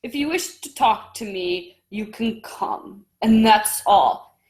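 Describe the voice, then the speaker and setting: A woman's voice: mid-range voice, lively intonation, slow, a little mellow. A woman playing a stern, commanding ship's captain who gives curt orders in an audio drama. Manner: curt, authoritative.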